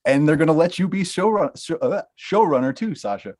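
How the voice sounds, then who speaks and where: A man's voice: a little high, expressive, brisk, a little mellow, natural voice. A man reading a blunt Hollywood agent in a comedic table read, crude and mocking, often laughing. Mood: gleeful.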